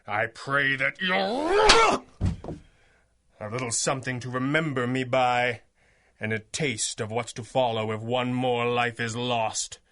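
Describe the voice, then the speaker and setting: A man's voice: medium pitch, bright, forceful tone, exaggerated voice. A man playing a stern, righteous masked superhero in a radio drama, declaiming threats with theatrical menace. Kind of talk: 1930s-style radio drama acting.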